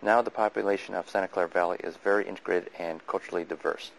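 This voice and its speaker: A man in his fifties from California, whose first language is Chinese, recalling his childhood in a measured, plain, reflective way. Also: sits low, steady tone, creaky, rough recording. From Sunnyvale, California. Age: 50s. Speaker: oral history narrator. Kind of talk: reading a prepared memoir, then unscripted recollection.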